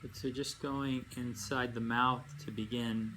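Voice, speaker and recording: A man leading a guided meditation as a yoga nidra teacher, speaking in unhurried phrases with long pauses and gentle instruction. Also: mid-range voice, little pitch movement. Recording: amateur recording with faint background noise.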